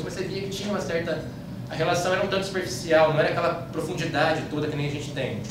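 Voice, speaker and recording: A man from Brazil with a Brazilian Portuguese accent gives an informal slide-show talk in a conversational, anecdotal way, often adding little checking tags. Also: on the high side. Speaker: speaker giving a talk about his trip. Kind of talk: informal slide-show lecture, recounting travels. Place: indoor room, live talk to an audience. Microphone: far from the speaker. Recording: echoey room, distant mic, clear background noise.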